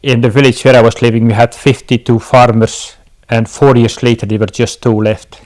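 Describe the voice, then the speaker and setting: Casting: middle-aged male interview guest, Belgian accent, medium pitch, conversational delivery. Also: little pitch movement, normal speed, a little mellow. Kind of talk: casual interview answers.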